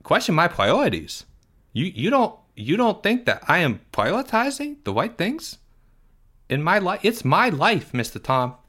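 A touchy, self-important young boy whines in a high, bright voice, as a man puts him on. His pitch leaps around as he grumbles and complains. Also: huge pitch swings, heavily acted. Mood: indignant whining. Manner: whiny, indignant, quick to take offence.

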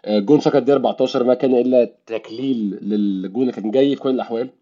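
A hoarse Egyptian man with a worn-out voice, hosting a casual football discussion in Egyptian Arabic. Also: medium pitch, rapid-fire, dark tone. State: hoarse, voice worn out after the match. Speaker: football podcast host. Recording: dull, slightly muffled microphone.

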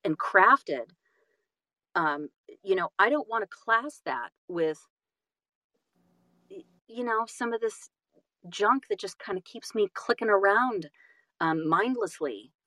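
A woman talking reflectively as an interview guest, animated and self-deprecating, often halting and repeating phrases for emphasis. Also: medium pitch, no room sound. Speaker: guest, audiobook narrator and voice-over artist.

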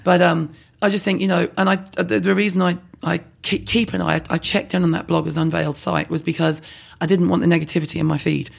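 A woman with a husky, heavily gravelly voice talks fast and bluntly. She is animated and self-deprecating, trading candid views in a podcast conversation. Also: lowish voice. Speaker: skincare blogger guest.

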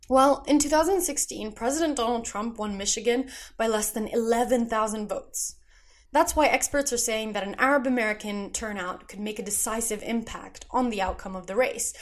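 Woman podcast host reading the news, kinda high voice, steady and measured. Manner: measured, even news read. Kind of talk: scripted news narration.

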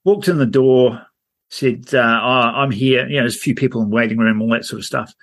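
A man, his voice croaky because he is ill. He speaks at a middle pitch and tells stories in a rambling, self-mocking way as a podcast co-host. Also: a little fast. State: croaky from illness. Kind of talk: casual storytelling chat.

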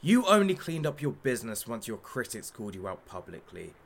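An actor playing a menacing, sardonic talk show host in a satirical audio drama, with a theatrical, taunting manner. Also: male voice, medium pitch, sing-song, brisk, creaky. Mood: scornful, taunting.

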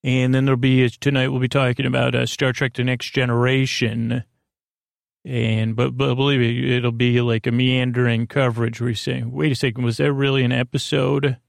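A man with a creaky, gravelly voice hosts a sleep podcast, gently and reassuringly rambling in a meandering, halting monologue that trails off mid-thought. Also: medium pitch, little pitch movement, dry recording, clean studio sound. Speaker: podcast host.

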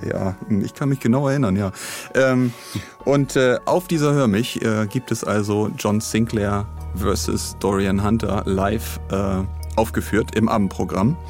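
Guy co-hosting a podcast, lowish voice, rambly and dry, just chatting in a studio. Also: fairly even intonation, a little slow, darkish tone, soft background music. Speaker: podcast co-host. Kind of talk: casual chat. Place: recording studio.

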